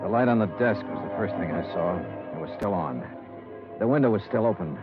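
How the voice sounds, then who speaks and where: An actor playing a tough, sardonic insurance investigator in a 1950s radio detective drama, clipped and wisecracking. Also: male voice, sits low, expressive, a little fast. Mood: weary narration. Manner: clipped, wisecracking, hard-boiled. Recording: muffled 1950s radio recording with music.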